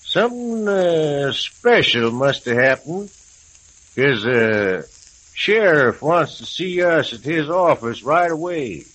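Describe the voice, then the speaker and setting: An American actor playing a good-humored old prospector in a radio western, drawling in rustic cowboy dialect. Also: male voice, a little high, very animated, slow pace, a bit bright, exaggerated voice. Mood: folksy. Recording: hissy, crackly old radio recording.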